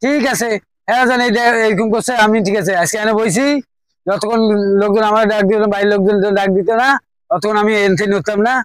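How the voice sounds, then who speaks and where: A man acts out a sulky villager in a homespun drama, with a Bangladeshi regional accent. His voice sits high and the words come quickly, in a stubborn grumble. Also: steady tone, clean tone, no echo, close to an everyday voice.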